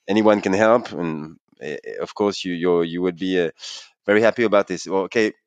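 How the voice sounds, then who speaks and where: A male interviewer with a smooth, resonant, fairly low voice. He stumbles and restarts as he frames his questions. The sound is dry and close. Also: brisk, no echo, highs cut off. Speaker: interviewer, podcast host.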